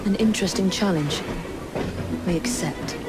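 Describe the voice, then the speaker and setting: An actress playing a courteous, composed young Victorian Englishwoman in a video game, measured and earnest, with an English accent. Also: on the low side, heavy background noise, close to an everyday voice. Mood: polite, composed. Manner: polite, measured. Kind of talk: video game cutscene acting.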